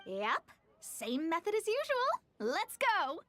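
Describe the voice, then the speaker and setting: A woman voicing a proud, thrifty young astrologer mage in a video game, brisk, theatrical and self-assured. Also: very high voice, wildly swooping pitch, noisy background, heavily acted. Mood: matter-of-fact.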